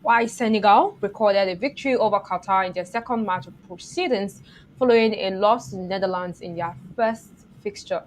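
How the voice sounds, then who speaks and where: A woman's voice: medium pitch, brisk, a little hiss or hum, rough recording. A woman hosting a football show, reading scripted match previews and putting questions to viewers and guests.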